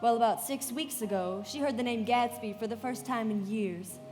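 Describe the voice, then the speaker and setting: A woman playing a poised young society woman who tells a story in measured, confiding narration in a live radio play, in a reverberant hall with music behind. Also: on the high side, darkish tone, lightly acted. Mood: concerned, recounting.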